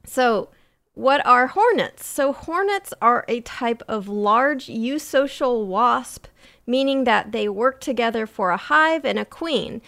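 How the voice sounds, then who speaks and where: Slow, smooth-voiced woman explainer. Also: on the high side, expressive, a bit bright. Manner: playful, jokey explaining. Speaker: science explainer host. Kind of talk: casual podcast chat.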